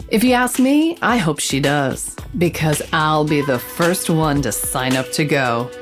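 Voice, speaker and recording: Woman reporter, lowish voice, suspenseful scripted narration, audio drama, prominent music behind. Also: lively intonation. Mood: wry, determined. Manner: measured, suspenseful storytelling. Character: bold female holo-caster reporter recounting a mystery.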